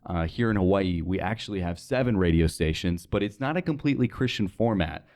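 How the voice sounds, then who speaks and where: A man's voice: low-pitched, animated, fairly quick, darkish tone. A young American man talking casually as a guest in an interview. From United States, North Dakota. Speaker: interview guest, radio sales associate.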